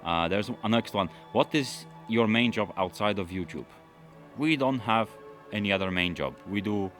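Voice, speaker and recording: Deep-voiced man chatting to camera. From London. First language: Russian. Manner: relaxed, rambling, with frequent fillers and restarts. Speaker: automotive YouTuber. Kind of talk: casual Q&A chat to camera.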